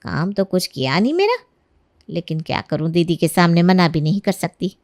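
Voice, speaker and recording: A woman's voice: on the low side, animated, normal speed, darkish tone. A woman voicing a jealous, sarcastic middle-aged aunt who grumbles pettily in an acted storybook tale. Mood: resentful muttering. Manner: sarcastic, complaining. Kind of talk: acted Hindi storybook narration.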